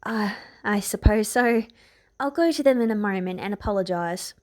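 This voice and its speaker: A woman's voice: medium pitch, moderate pace, lightly acted. A woman playing a tired, guarded queen and mother with a formal, clipped way of speaking. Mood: weary, guarded. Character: weary, tense queen and mother, guarded, loving.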